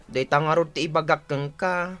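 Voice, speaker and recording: A woman's voice: sits low, steady tone, moderate pace, a bit bright. A woman playing an exasperated younger sibling who keeps house in a rural family, in a radio drama with an Ilocano accent. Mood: distressed.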